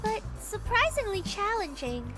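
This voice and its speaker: Sweet, earnest schoolgirl for an anime-style game, extremely high voice, music underneath. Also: big pitch swings, measured pace, exaggerated voice. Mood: gentle, curious. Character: sweet, hopeful female student in cooking class. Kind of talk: anime-style visual novel acting.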